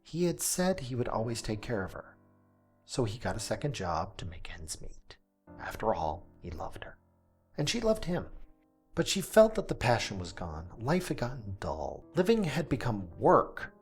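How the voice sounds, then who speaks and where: Earnest local radio host for a surreal radio play, played by a man: medium pitch, emphatic, measured monologue, music bed. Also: huge pitch swings, darkish tone. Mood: somber storytelling. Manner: deliberate, dramatic pauses, emphatic.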